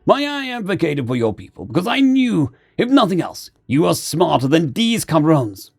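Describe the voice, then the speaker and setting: A man's voice: a little high, sing-song, normal speed, creaky, darkish tone, slightly rough, voice pushed up, exaggerated voice. Man acting a barking, formidable marine commander. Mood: sardonic. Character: formidable, gruff male marine commander, aggressive and blunt.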